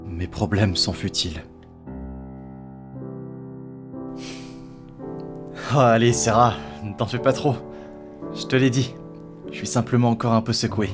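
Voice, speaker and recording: A man's voice: lowish voice, close to an everyday voice. A man playing a nervous, evasive teenage schoolboy in an amateur webtoon dub, casual and hesitant. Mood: downplaying. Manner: hesitant, casual, brushing things off. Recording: voice under clearly audible music.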